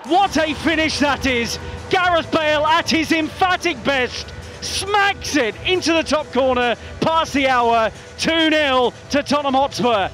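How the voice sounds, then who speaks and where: A man giving excited, emphatic live football match commentary as a commentator. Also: very high voice, measured pace. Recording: broadcast over crowd roar and music. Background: stadium crowd, music.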